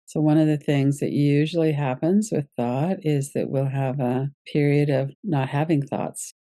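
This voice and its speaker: A woman guiding a yoga nidra meditation as its teacher, giving gentle, unhurried instructions with pauses. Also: low-pitched, steady tone, no echo, studio quality.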